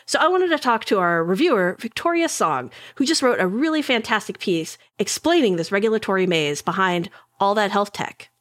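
A woman's voice: mid-range voice, animated, dry recording. Playful woman podcast host. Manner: playful, enthusiastic, quick interjections. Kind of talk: casual podcast hosting.

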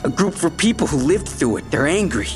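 A man's voice: fairly high pitch, sing-song, fairly quick, music in the background. An actor playing a desperate, menacing, guilt-ridden man with a knife in an audio drama, intense, both pleading and threatening. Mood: agitated, angry.

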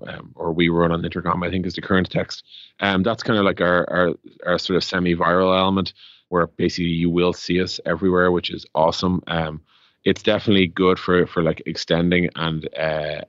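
A man's voice: low voice, fairly bright tone, no echo, highs cut off. An Irish man explaining business as an interview guest, rambling conversationally with lots of "like" and "you know". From Ireland. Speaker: interview guest, tech startup co-founder.

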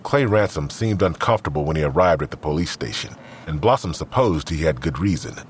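A man with a low voice narrates a scripted crime drama. His pitch moves expressively as he tells the story, and a faint ambience sits behind him. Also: lively intonation, a little fast, slight background noise.

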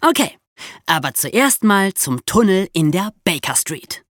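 A woman co-hosting a podcast, explaining true crime in a lively storytelling way with rhetorical questions. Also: medium pitch, unhurried, noisy background. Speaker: podcast co-host.

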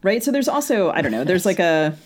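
A woman co-hosting a podcast in casual conversation about books, speaking in a thoughtful, hedging, halting way. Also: fairly low pitch, big pitch swings. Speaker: podcast co-host and novelist.

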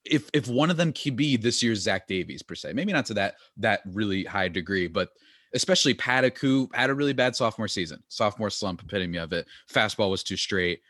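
A man co-hosting a podcast in casual chat, animated and talkative, often laughing. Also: deep voice, no echo, studio quality. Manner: animated, chatty, laughs often, many "like" fillers. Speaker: podcast co-host.

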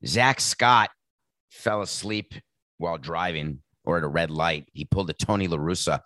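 A man hosting a sports podcast, with a raspy voice and a sardonic, mocking way of talking. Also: deep voice, normal speed, bright, forceful tone, dry recording. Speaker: podcast host. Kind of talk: sarcastic sports commentary.

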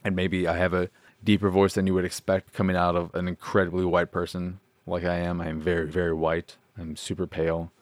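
Deep-voiced man rambling casually. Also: completely flat delivery, brisk, dry recording. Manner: rambling, self-deprecating, swears casually. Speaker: podcast host. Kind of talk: casual solo podcast chat.

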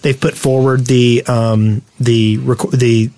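A man's voice: medium pitch, little pitch movement, slow, darkish tone, no echo. A man co-hosting a gaming podcast, explaining things casually and talkatively. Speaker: podcast co-host.

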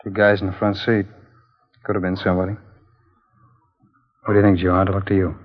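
An actor playing a wary, smooth-talking American drug dealer in a 1950s radio crime drama, casually bossy. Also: male voice, low-pitched, level tone, a little fast. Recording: dull 1950s radio recording.

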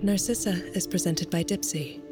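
A woman's voice: on the low side, fairly even intonation, normal speed. A woman reading scripted sponsor messages and show introductions as an announcer. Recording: loud music under the voice.

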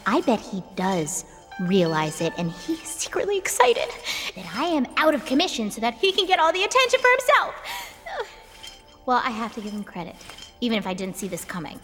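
An actress playing an unhinged, self-pitying diva in a melodramatic, indignant comic inner monologue. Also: female voice, a little high, fairly bright tone. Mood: bitter, suspicious. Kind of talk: comedic inner monologue in audio drama. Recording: long reverb, music underneath.

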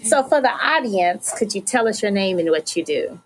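A woman's voice: mid-range voice, animated, normal speed, rough recording. A woman with an American accent hosting a podcast, interviewing in a conversational way. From United States.

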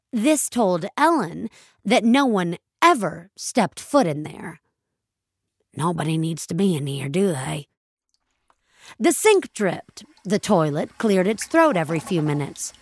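A woman narrating a scripted, dramatic fiction story in a measured, expressive storytelling manner. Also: mid-range voice, dry recording, clean studio sound.

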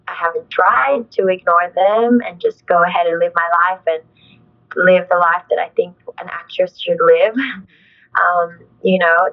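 A woman's voice: fairly low pitch, vocal fry, phone-quality sound. A young adult woman, an actress, talking in an interview in an earnest, thoughtful way, full of "like" and "you know". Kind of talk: reflective conversational interview.

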